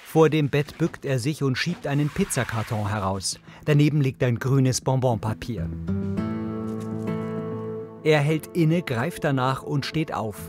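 A man's voice: medium pitch, measured pace, over music. A man reading scripted audio description of a drama as its narrator.

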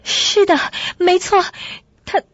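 Shrill-pitched, terrified young woman. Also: steady tone, takes it slowly, no echo. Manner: panicky, stammering. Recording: muffled lo-fi audio, loud music.

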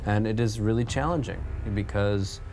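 A man's voice: fairly low pitch, moderate pace, darkish tone, slight background noise. A man talking in a documentary interview.

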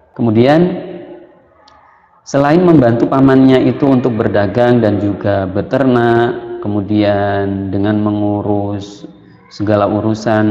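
Young male schoolteacher for a children's religion lesson; unhurried delivery, long room reverb. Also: on the low side, dull audio. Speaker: teacher.

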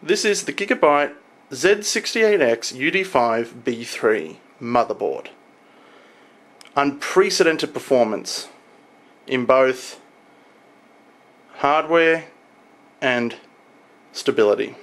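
A young adult man talking informally to a camera in a home room, explaining things in a halting, casual showcase style. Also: medium pitch, expressive, a bit bright. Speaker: tech vlogger. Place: small home room, indoors.

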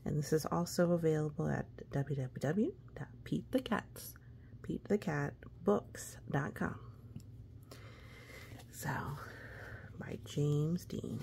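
A woman's voice: low voice, takes it slowly, gravelly, pauses often, mellow, a little hiss or hum. A woman reading a children's story aloud with playful, exclamatory asides, talking as if to a young child. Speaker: picture book reader.

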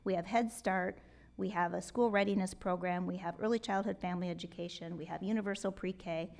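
A woman's voice: lowish voice, a little fast, gravelly. A middle-aged woman answering interview questions at a hearing table, earnest and gesturing, often restarting her sentences. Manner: earnest, expressive with hand gestures, many restarts. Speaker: superintendent candidate. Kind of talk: public job interview answers. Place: hearing room with rows of empty seats. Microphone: table microphone.

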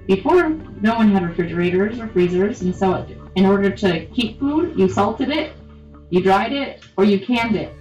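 Young historian in an echoey room. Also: female voice, mid-range voice, lively intonation, normal speed, a little mellow. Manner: explanatory, informative. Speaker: writer and historian. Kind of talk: interview answers. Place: indoors, in a cluttered workroom. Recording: roomy and dull from a distant mic, with music.

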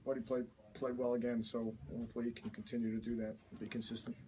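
A man in his fifties, a head coach giving a postgame interview in a small office, talking haltingly with many fillers and restarts. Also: mid-range voice, flat delivery, mellow. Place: small cluttered office, indoors. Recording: distant mic with background noise.

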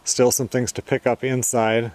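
A middle-aged man narrating a casual outdoor vlog at a lakeside camp in the woods, talking in a relaxed, matter-of-fact way. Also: mid-range voice, normal speed. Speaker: vlogger.

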